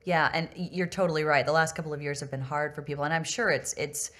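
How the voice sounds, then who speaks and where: A woman with a fairly low voice hosts a relaxed, conversational interview. She sounds affirming and effusive, talking to her guest in an informal podcast style. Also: fast. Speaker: interview host.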